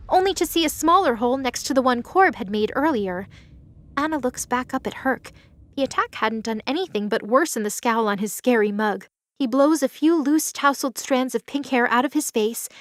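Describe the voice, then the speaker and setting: High-voiced woman narrating over music. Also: fairly bright tone. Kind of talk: scripted audio drama narration. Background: music under the voice.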